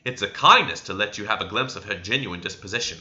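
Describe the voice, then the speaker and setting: A man's voice: fairly low pitch, lively intonation, fast, pushed and bright, lo-fi sound. A man voicing an outspoken, dutiful housemaid with a blunt, protesting manner in a storybook reading. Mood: disapproving.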